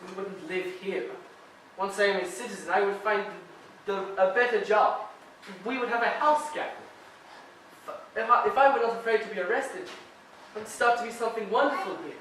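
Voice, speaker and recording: A man's voice: sits high, a bit bright, a little hiss or hum. A man playing an earnest young immigrant. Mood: hopeful. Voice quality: halting immigrant English, measured phrasing. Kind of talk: stage play acting. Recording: echoey room, voice from far off.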